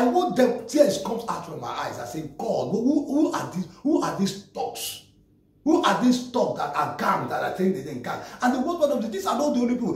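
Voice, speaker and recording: A middle-aged Nigerian man vents to the camera, hammering his points with repeated words and restarts. His voice is fairly high and swings widely in pitch. It echoes off bare walls, picked up from a few steps away. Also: darkish tone. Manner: emphatic, repetitive, stammering restarts, gesturing. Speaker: vlogger. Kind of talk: impassioned direct-to-camera rant. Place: small plain room indoors. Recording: echoey room, voice distant from mic.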